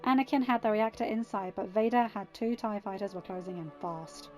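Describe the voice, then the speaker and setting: A woman's voice: mid-range voice, brisk, a little mellow, soft background music. A woman reading scripted fan fiction narration as an audiobook reader.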